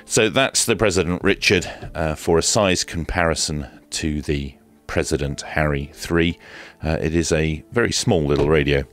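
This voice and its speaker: A man's voice: rumbling low, moderate pace. A man presenting a hands-on product review in a casual, hesitant way with dry, understated humour. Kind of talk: casual hands-on unboxing commentary.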